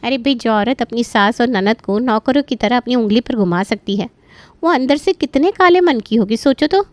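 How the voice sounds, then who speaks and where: A woman's voice: on the high side, expressive, moderate pace, no echo, voice pushed up, natural voice. A woman voicing a gossipy, envious young female friend in a story, speaking in an emphatic, catty way. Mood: catty gossip.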